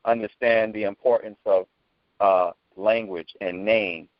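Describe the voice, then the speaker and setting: A man in a casual group discussion, halting with many ums, calling others brother. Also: fairly low pitch, fairly even intonation, no echo. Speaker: podcast panelist. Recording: dull dry lo-fi audio.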